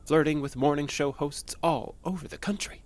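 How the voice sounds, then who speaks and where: An actor in an audio drama playing an obsessive, unstable male patient with a halting, nervous, insistent manner. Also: on the high side, close to an everyday voice. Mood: sly, menacing. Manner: halting, nervous, insistent, stammering under pressure.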